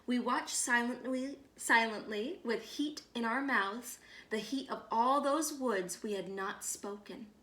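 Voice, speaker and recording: Female storyteller for children's read-aloud: unhurried, expressive, fairly high voice, echoing room. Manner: measured, expressive storytelling with deliberate pauses.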